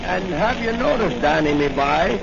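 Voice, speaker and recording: A muffled, noisy old radio serial recording of a pompous veteran cop. His voice sits high and has a gravelly creak. He talks with self-important bluster. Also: lively intonation, normal speed. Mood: boastful. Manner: pompous, stumbles over long words. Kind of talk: 1940s radio serial acting.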